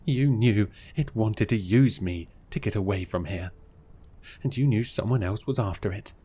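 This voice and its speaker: A man's voice: fairly low pitch, lively intonation, a little slow, warm tone, husky. A man voicing a furious, indignant heroine. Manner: heated, indignant. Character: angry young heroine arguing.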